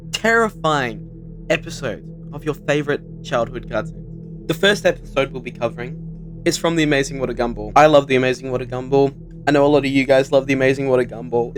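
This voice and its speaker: A young adult man hosting a video with casual, scripted commentary. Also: mid-range voice. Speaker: video host. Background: background music.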